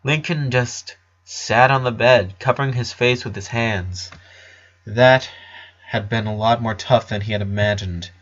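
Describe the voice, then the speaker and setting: A man narrates a story from a script. His voice is bright and forward at a middle pitch, and the recording is slightly dull. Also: unhurried. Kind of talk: scripted narration, fan fiction reading.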